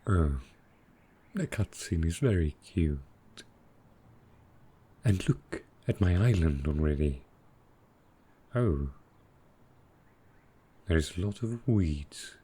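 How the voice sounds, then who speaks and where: A man voices a dragon boyfriend in an intimate audio roleplay. He is very low and unhurried, thinking aloud with doting, innocent earnestness. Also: expressive, breathy edge, full character voice. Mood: curious, delighted. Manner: slow, musing, softly doting, naive. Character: gentle, earnest male dragon boyfriend, new to technology.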